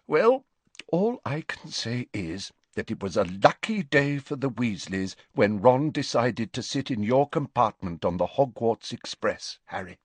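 A man's voice: mid-range voice, sing-song, measured pace, husky, no room sound. A man voicing an earnest middle-aged father with a constricted voice, in an audiobook. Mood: moved.

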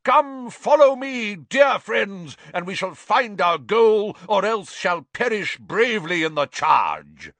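A man's voice: high voice, big pitch swings, slow, fairly bright tone, husky, no echo, pitched above the performer's own voice, heavily acted. A man voicing a short, pompous, hot-headed knight in a storybook, declaiming bombastically in archaic language. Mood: gallant, rousing. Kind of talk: audiobook character acting.

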